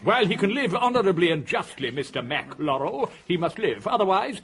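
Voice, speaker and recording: A man's voice: high voice, sing-song, brisk, a bit bright, a little hiss or hum, exaggerated voice. An actor playing a witty, contrary male philosopher with a dry, cutting manner in a radio drama. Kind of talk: 1980s radio drama acting.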